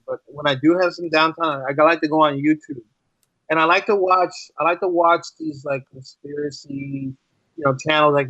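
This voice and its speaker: A man with a fairly high, very bright voice talks as an interview guest. He is enthusiastic and talkative in a casual, conversational way, and the sound is close and dry. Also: dry recording, lo-fi sound. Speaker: podcast guest, writer and podcaster.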